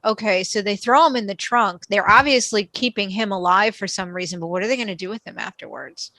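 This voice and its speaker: A woman hosting a podcast discussion, chatty and animated, asking the panel questions. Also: mid-range voice, brisk, no echo. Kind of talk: casual discussion.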